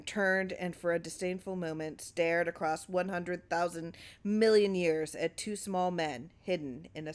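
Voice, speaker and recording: A woman reading a short story aloud as scripted narration. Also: lowish voice. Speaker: story reader.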